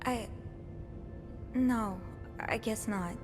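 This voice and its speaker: An actress playing a young hotel maid turned amateur sleuth, speaking gently and hesitantly, stammering now and then. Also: female voice, fairly high pitch, drawn-out words, soft background music.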